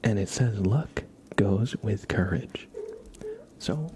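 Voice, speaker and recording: A young adult man speaking softly and gently as an ASMR livestreamer, half whispering as he chats casually to viewers. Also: lowish voice, lively intonation, takes it slowly, noisy background. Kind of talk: soft-spoken ASMR, casual chat with viewers.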